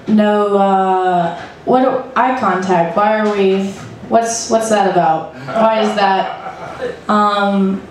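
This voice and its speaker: A woman's voice: mid-range voice, slight background noise. A young woman doing stand-up at an open mic, rambling loosely and laughing at her own jokes into a handheld stage mic. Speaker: stand-up comic. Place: small club stage with red curtain. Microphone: handheld stage mic, heard through PA. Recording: roomy club PA heard from far off.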